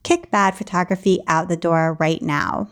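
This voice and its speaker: A woman's voice: fairly low pitch, steady tone, moderate pace, clear, resonant tone. A woman hosting a podcast, reading an ad and interviewing a guest in a friendly, upbeat way with frequent laughs. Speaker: podcast host.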